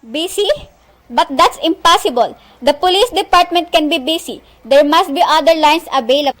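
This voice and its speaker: A young woman playing a frantic, nervous, demanding married woman in an amateur radio play, with halting, stumbling delivery. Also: high-pitched, lightly acted. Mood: desperate, demanding. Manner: halting line delivery, stumbling over words.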